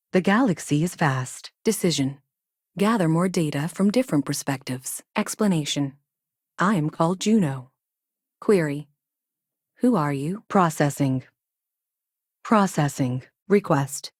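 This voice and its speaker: A woman playing a self-aware female ship AI in a video game, speaking in clipped, literal, measured statements with a detached, earnest logic. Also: sits low, darkish tone, no room sound, studio quality. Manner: clipped, announces each statement with a one-word label, literal. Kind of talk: video game character dialogue.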